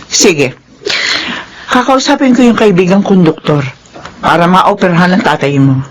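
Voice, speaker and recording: A woman's voice: fairly low pitch, big pitch swings, normal speed, poor audio. A woman playing a stern, brusque lady employer in a Filipino radio drama, curt and haughty. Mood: curt, matter-of-fact.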